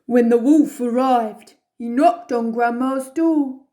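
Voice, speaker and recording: A woman's voice: on the high side, takes it slowly, dark tone. A woman playing a jolly, childlike storyteller character, telling a children's bedtime story in a playful, exaggerated way. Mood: storytelling. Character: Gruff, jolly childlike storyteller character who loves cake.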